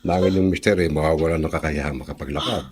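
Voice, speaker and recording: An actor playing a doctor who formally delivers bad news in a radio drama. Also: male voice, rumbling low, steady tone, quick pace, darkish tone, a little hiss or hum. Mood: somber. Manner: formal, somber. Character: grave, formal hospital doctor.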